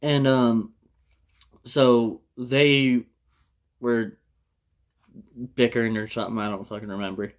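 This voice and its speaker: A young American man tells a personal story casually to camera, in a loose, halting way with plenty of swearing. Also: medium pitch, flat delivery. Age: young adult. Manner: loose, profane, halting delivery. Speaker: vlogger telling a personal story. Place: small indoor room with bare wall.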